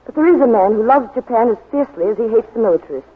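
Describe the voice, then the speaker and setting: A woman's voice: a little high, lively intonation, very fast, slightly rough. An actress playing a brave, earnest woman spy in love in a 1940s radio drama. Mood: urgent, earnest. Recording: muffled old 1940s radio broadcast, faint music.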